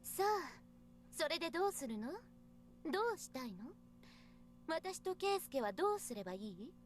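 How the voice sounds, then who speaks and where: Haughty, teasing young temptress. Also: female voice, high-pitched, very animated, fast talker, a little hiss or hum. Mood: provocative, arrogant. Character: provocative, arrogant young woman, cool and teasing. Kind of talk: Japanese visual novel voice acting.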